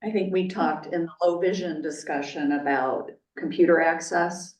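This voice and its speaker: A middle-aged woman with a very low voice speaks earnestly and thoughtfully. She sounds far from the mic in a roomy, slightly dull space. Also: steady tone, moderate pace. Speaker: discussion participant. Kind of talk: casual group discussion. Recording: distant, echoey, dull.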